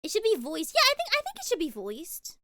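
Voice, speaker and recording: Bubbly high-voiced young woman streamer. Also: wildly swooping pitch, brisk, sharp tone, dry recording, clean studio sound. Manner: bubbly, gushing, frequent giggles and repeated words. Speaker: VTuber streamer. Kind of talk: casual live-stream chat, reacting and reading chat.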